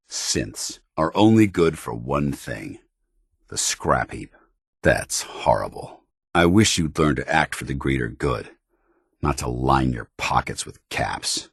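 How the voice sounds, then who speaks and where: Game soldier companion: extremely low male voice, stern, duty-bound, formal military delivery, dry close recording. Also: fairly bright tone, slightly rough, no room sound, heavily acted. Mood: brisk, authoritative, then disappointed. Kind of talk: video game companion dialogue.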